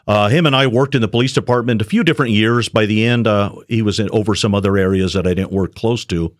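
A middle-aged man speaks with a somewhat low voice, telling his story in an earnest, measured way, pausing and restarting as he goes. The recording is dry and close, with no room sound. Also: no echo. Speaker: shepherd school student, retired police officer. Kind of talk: personal testimony on a radio show.

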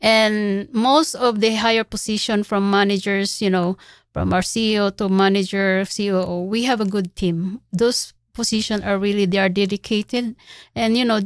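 A woman's voice: mid-range voice, steady tone, moderate pace, clean tone, no room sound, clean studio sound. A woman in her sixties, a charity CEO guest on a radio interview, telling her story earnestly with frequent laughs. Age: 60s. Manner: earnest, laughs often, faith-filled storytelling.

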